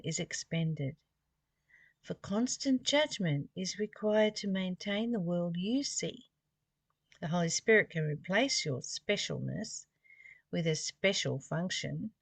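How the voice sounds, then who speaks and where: A woman with a slightly low voice leads a spiritual study, moving between reading and informal reflection. She speaks very slowly and muses as she goes, often putting rhetorical questions to the listener. The sound is close and dry. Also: dry recording. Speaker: spiritual study reader and commentator.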